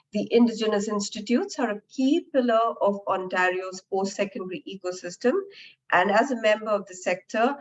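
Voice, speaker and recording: A woman moderating and opening a professional webinar, her voice lowered from a recent flu, speaking in a friendly way with frequent "uh" fillers. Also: dull audio. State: recovering from flu, deeper voice.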